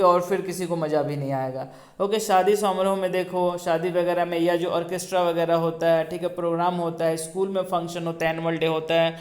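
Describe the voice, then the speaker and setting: A man with an Indian accent gives an informal slide lecture as a teacher, explaining point by point, mixing in English, repeating phrases and checking in with short tags. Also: high-pitched. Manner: switches between Hindi and English, reading slide text aloud. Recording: roomy, echoing voice recording.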